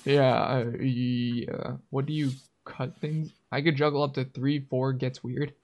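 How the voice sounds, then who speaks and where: Casual streamer host, mid-range pitch, informal, encouraging, chatty interview style. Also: flat delivery, measured pace, darkish tone. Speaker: livestream host interviewing a guest. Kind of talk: casual chat.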